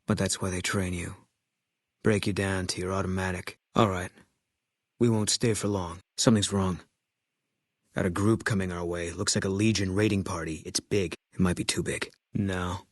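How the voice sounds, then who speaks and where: Casting: stoic, guilt-ridden military sniper in a video game; deep, raspy, terse, flat delivery. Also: male voice, normal speed, no room sound, clean studio sound. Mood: terse, watchful. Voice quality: low, husky. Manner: deadpan, terse, clipped sentences. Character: terse, guilt-haunted ex-army sniper, grimly stoic man. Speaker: video game companion character.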